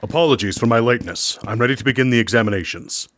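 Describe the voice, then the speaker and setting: A man's voice: mid-range voice, very fast, noisy background, natural voice. A man playing a polite doctor with formal manners in a sci-fi audio drama. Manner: courteous, formal. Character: polite, formal male doctor at an intergalactic prison.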